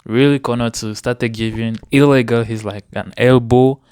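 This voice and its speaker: A man's voice: medium pitch, steady tone, normal speed, darkish tone, clean tone, dry recording, studio quality. A man, chatting casually about sport as a guest in an interview. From Cameroon.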